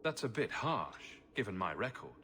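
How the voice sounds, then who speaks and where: A man's voice: on the low side, very animated, normal speed, bright, forceful tone, a little hiss or hum. A man voicing a wry, self-assured adventurer in a video game, with a dry, teasing manner. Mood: dry challenge.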